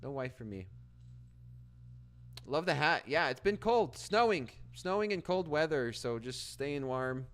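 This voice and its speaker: A man's voice: a little high, very animated, a little slow, fairly bright tone, clean tone. A Canadian man hosting a live trading stream, chatting casually with viewers and reading their comments aloud, with frequent restarts. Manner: reads chat aloud and answers, with frequent restarts. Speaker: livestream trading host.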